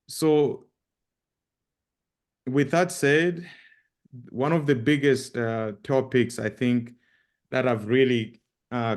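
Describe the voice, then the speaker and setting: A man with a Zambian accent giving an informal presentation as a guest speaker, speaking haltingly with repeated words and frequent pauses. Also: mid-range voice. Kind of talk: informal presentation with personal introduction.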